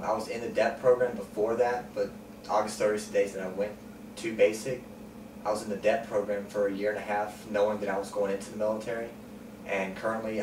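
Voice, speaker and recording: A man in his twenties answering interview questions calmly and plainly, with frequent ums. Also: lowish voice. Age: 20s. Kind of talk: sit-down interview. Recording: roomy, distant mic, noisy.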